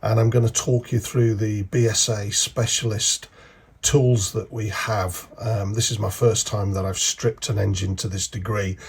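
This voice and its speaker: Gravelly man, congested with a cold. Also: on the low side, a little mellow. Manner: halting, explanatory. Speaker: hobbyist mechanic narrating a video. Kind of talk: casual how-to narration. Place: home garage.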